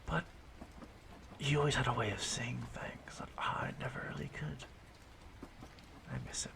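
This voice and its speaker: Man acting a gravelly, slow-spoken ancient immortal stalker. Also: medium pitch. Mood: wistful, musing. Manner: halting, musing, drawn-out, often dropping to a whisper. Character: ancient immortal male stalker, softly menacing, wistful, courteous. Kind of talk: slow intimate audio roleplay monologue.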